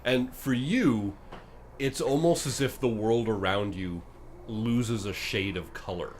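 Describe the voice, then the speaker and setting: A man working as game master, improvising descriptive narration for a tabletop game in a halting way. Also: medium pitch, a little hiss or hum.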